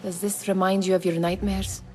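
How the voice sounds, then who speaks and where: A woman plays a dreamy, curious young companion in a video game cutscene. She sounds playful and full of wonder at a medium pitch, with long reverb and light music around her. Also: little pitch movement, normal speed, natural voice. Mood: wondering, teasing.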